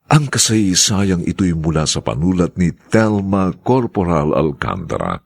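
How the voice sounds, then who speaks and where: A man's voice: low-pitched, very animated, creaky, slightly rough. A man announcing a radio drama in a formal, polite manner and drawing his words out. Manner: slow, polite, drawn-out delivery.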